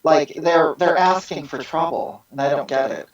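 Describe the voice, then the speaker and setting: A performer doing an earnest activist woman who stumbles over her own sentences, stammering and jumping in pitch before settling back down. Her voice arrives muffled and lo-fi, like remote call audio, at a middling pitch. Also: close to an everyday voice. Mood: earnest defensive. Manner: halting, repeats words, jumps high in pitch. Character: self-styled female social justice activist, earnest and rambling. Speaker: guest being interviewed. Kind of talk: satirical interview answers.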